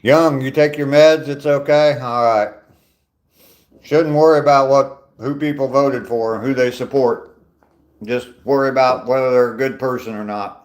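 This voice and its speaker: A man's voice: medium pitch, fairly even intonation, moderate pace. Easygoing man in his sixties chatting. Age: 60s. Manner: easygoing, chatty, answers comments with dry humor. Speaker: live streamer. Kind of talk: casual live-stream chat reading viewer comments. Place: outdoors on a walk. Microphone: phone.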